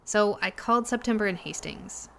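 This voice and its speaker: A woman playing a shaken young cafe worker in an audio drama, talking haltingly and hesitantly, with sighs and pauses. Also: medium pitch, creaky, a bit bright. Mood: unsettled, hesitant. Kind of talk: naturalistic audio drama dialogue.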